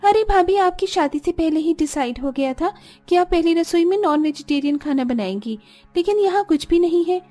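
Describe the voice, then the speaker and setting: A woman's voice: very high-pitched, expressive, normal speed, mellow. A woman playing a pert, complaining young sister-in-law in a cartoon.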